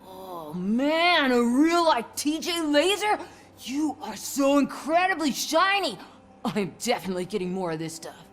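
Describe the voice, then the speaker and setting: A giddy starstruck young fan. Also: female voice, high-pitched, very animated, unhurried, fairly bright tone, faint music behind, exaggerated voice. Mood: gushing. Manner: gushing, breathless, whispering asides. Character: giddy young woman, starstruck drug user.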